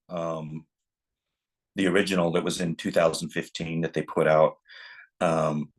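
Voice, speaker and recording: A man speaking as a film director being interviewed, reflective and halting, often restarting his thoughts as he goes. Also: low voice, a bit bright.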